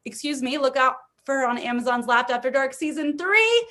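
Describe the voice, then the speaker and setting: A woman's voice: high-pitched, expressive, a little fast, noisy background. A woman hosting a comedy show, introducing acts in an informal, enthusiastic way. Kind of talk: upbeat emcee introductions. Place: online video event.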